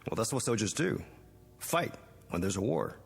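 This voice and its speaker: A man's voice: on the low side, sing-song, moderate pace, creaky, husky, soft background music, exaggerated voice. An actor playing a proud, defensive working man, earnest and curt, in a vintage TV drama. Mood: tense, defensive. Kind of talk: 1960s television drama acting. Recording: reverberant vintage TV soundtrack.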